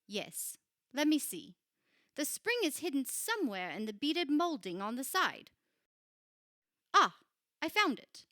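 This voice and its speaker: A woman acting in a radio play as a grave, knowing churchwoman, telling of dark things in a measured, dramatic way. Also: sits high, very animated, no room sound, studio quality, exaggerated voice. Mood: ominous. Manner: measured, solemn, dramatic storytelling.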